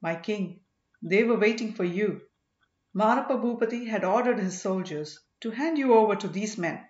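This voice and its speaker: A woman's voice: mid-range voice, normal speed, darkish tone, close to an everyday voice. A woman voicing a loyal, plain-spoken male servant who addresses his master respectfully and emphatically, as in a storybook. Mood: explaining. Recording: roomy, slightly dull home recording.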